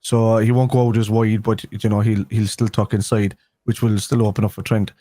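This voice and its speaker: A man's voice: lowish voice, little pitch movement, fairly quick, mellow, no room sound, studio quality. A man with a Liverpool accent, co-hosting a football fan live stream, talking casually and rambling with restarts a day after having a tooth pulled. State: speaking after a tooth extraction.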